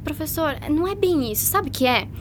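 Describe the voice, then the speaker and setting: A woman's voice: high-pitched, expressive, a little fast, a little hiss or hum. A woman playing a curious, outspoken teenage schoolgirl in a Brazilian radio drama, questioning in an informal way. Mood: hesitant, challenging.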